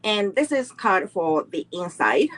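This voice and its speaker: A woman's voice: mid-range voice, very animated, a little slow. A middle-aged woman teaching a live online card making class, walking through each step unhurriedly and greeting viewers between steps.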